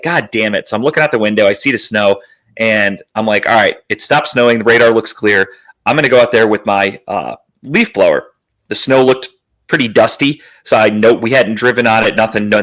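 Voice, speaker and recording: A man hosting a podcast in casual chat, telling rambling, profane stories in a slightly raspy, worn, phlegmy voice. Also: fairly low pitch, fairly bright tone. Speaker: podcast host.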